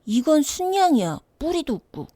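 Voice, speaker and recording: A woman voicing a small, mysterious boy in a storybook, gentle and insistent, with childlike, drawn-out phrasing. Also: high voice, sing-song, no room sound, pitched above the performer's own voice. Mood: fussy refusal.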